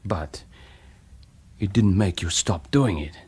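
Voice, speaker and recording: An actor playing a reflective, persuasive half-German former wartime spy in a radio drama, speaking deliberately and haltingly. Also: male voice, sits low, animated, darkish tone, husky, exaggerated voice. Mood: earnest, pleading. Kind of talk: naturalistic radio drama acting.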